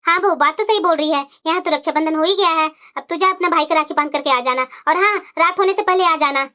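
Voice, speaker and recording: A woman's voice: extremely high pitch, fast, bright, forceful tone. A woman voicing an older mother-in-law in a family cartoon drama, reasoning and admonishing as a firm peacemaker. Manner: admonishing, coaxing. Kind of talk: Hindi family drama storytelling.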